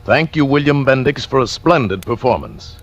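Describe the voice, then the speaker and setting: A man's voice: medium pitch, very animated, moderate pace. A man giving formal scripted announcements in 1940s radio style. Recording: vintage radio broadcast, music behind.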